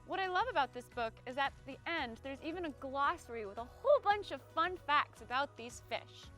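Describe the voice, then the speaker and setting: A woman's voice: high voice, animated, fairly quick, bright, forceful tone, faint music behind. A woman presenting a children's storytime as a friendly mermaid, playfully talking straight to young viewers with exaggerated expression. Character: friendly singing mermaid who loves the land. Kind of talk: children's storytime read-aloud and singalong presenting.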